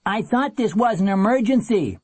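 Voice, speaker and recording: A nasty, impatient storybook man, acted out by a male narrator, calling out in a raised voice. His delivery is sarcastic and needling, with big pitch swings. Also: big pitch swings, unhurried, slightly rough, no echo, heavily acted.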